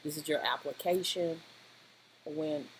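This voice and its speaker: An informal software instructor with a medium-pitched voice talks through each step on screen. The delivery is rambling and halting, with frequent little check-ins. The recording sounds homemade, with noise behind the voice. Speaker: tutorial presenter. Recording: clearly noisy home-style voice-over recording.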